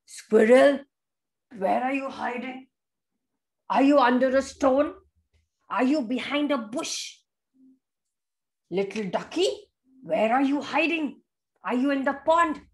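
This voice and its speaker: A woman's voice: high-pitched, expressive, slow, long pauses, exaggerated voice. A woman voicing a playful, boastful male bear from a children's story, calling out in a sing-song way. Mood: eager, searching.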